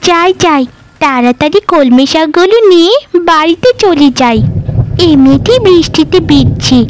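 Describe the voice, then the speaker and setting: A woman's voice: high-pitched, animated, normal speed, slight background noise, poor audio. A woman voicing an innocent, earnest little village girl in a cartoon, with a childlike manner. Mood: cheerful, eager. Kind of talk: Bengali cartoon dubbing.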